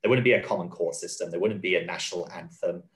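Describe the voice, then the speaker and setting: A man explaining policy in an interview, earnest and measured, often restarting and repeating phrases. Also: sits low, steady tone. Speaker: guest, advocacy spokesman.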